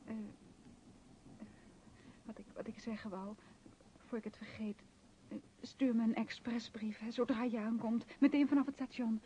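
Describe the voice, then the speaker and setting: A woman's voice: a little high, fairly even intonation, moderate pace, long pauses, dark tone, slight background noise, lightly acted. An actress playing an anxious young woman in a radio play, pleading with her fiancé in hesitant, stammering phrases. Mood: nervous, fussing. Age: young adult. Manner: hesitant, stammering, repeating words. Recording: muffled old radio drama recording.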